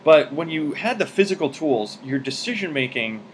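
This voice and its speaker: A man's voice: on the high side, lively intonation, normal speed. Thirtysomething male podcast host, faint hiss. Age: 30s. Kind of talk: casual interview chat.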